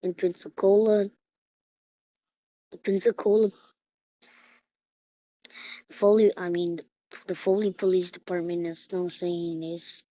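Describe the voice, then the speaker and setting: A woman improvising an emergency alert announcement in a halting way, often repeating and restarting her phrases, on a lo-fi recording. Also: fairly low pitch, warm tone, dry recording. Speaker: emergency alert announcer in a hobby mock broadcast. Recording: dull lo-fi recording.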